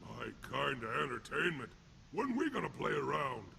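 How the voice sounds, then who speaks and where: Gravelly, brutish alien gangster thug. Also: male voice, mid-range voice, very animated, moderate pace, bright, forceful tone, slight background noise, full character voice. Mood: taunting. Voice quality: gravelly, rough. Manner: crude street-gangster slang, taunting and blunt. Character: brutish, sneering male alien thug and ex-convict enforcer. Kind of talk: video game character acting.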